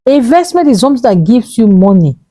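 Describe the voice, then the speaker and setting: A woman in her thirties with a Nigerian English accent, earnestly pleading with viewers and repeating her points, dropping to a low hush for emphasis. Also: sing-song, a little fast, dry recording, studio quality. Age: 30s. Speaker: vlogger giving money advice. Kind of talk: earnest motivational talk to camera.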